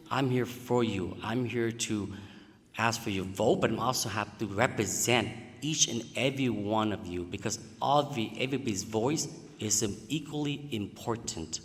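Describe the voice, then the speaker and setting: A man from Vietnam, answering at a candidate forum in plain, slightly broken phrasing. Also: on the low side. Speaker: city council candidate. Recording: reverberant hall.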